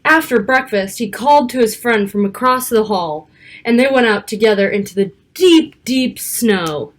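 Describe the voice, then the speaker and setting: Woman, slightly high pitch, children's storybook reading, expressive, stretched key words, chatty asides. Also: normal speed. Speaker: storyteller reading a picture book aloud to children. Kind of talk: read-aloud storytelling with casual asides.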